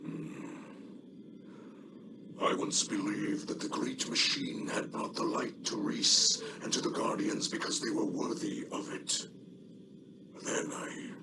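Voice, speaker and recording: A man voicing a solemn, honorable alien warrior and father, gravelly and breathy, speaking in grave, halting phrases, as video game dialogue. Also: medium pitch, heavy background noise.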